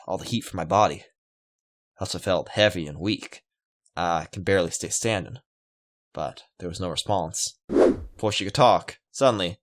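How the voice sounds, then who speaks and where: A young man hosting a gaming video, reading a visual novel aloud and adding casual commentary, with music over his voice. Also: low voice. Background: loud game music.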